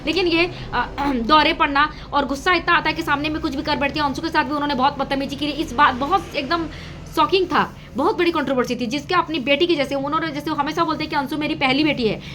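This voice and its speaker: A woman's voice: sits high, fast talker, sharp tone, a little hiss or hum. A woman giving casual gossip commentary to her audience, with emphatic, repetitive phrasing. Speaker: reaction video host.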